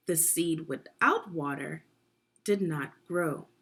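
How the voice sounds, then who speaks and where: A woman's voice: low voice, takes it slowly, fairly bright tone, breathy edge. A woman leading a guided read-aloud of a children's science book, teaching and prompting young listeners with questions.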